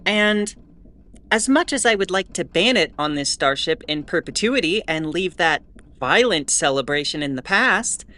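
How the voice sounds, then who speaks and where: A woman playing a wry, mildly exasperated starship announcer in a scripted audio drama, explaining in a measured way with asides. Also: mid-range voice, a bit bright. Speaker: host.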